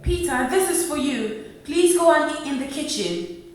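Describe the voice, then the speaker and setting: A woman's voice: high-pitched, animated, moderate pace, slight background noise. A woman playing a caring, anxious young working-class mother in a radio play, speaking politely and earnestly. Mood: gentle, polite. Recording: distant, very echoey room recording.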